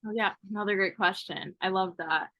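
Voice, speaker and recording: A woman's voice: mid-range voice, brisk, no echo, highs cut off. A woman in her twenties, a college student from Ethiopia raised in Texas, answering interview questions casually with lots of fillers. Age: 20s.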